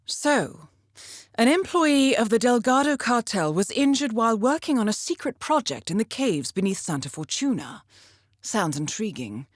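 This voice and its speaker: An actress playing a poised, dryly amused intelligence handler who briefs an assassin in a video game, measured and formal. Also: female voice, mid-range voice, dry recording, clean studio sound. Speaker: mission handler.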